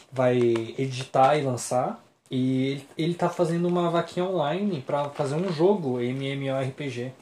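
A man's voice: a little high, dark tone, reverberant, a little hiss or hum. A man with a Brazilian Portuguese accent, co-hosting a casual podcast chat in a slangy, rambling way with frequent restarts. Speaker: podcast co-host.

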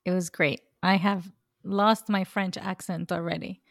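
A woman's voice: fairly low pitch, fairly even intonation, a little slow, gravelly, no room sound, clean studio sound. A woman co-hosting a casual podcast, chatty and laughing often. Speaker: podcast co-host.

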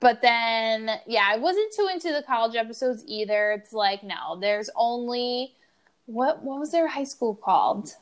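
A woman co-hosting a casual podcast, chatty and quick to laugh, with lots of "like". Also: a little high, animated. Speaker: podcast co-host. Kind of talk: casual chat.

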